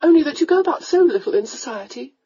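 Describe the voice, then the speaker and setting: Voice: sits high, sing-song, fast, dark tone, pitched above the performer's own voice. A narrator voicing a meek, gushing aristocratic mother, a countess, who frets and apologizes, in a slightly muffled recording. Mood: fretful.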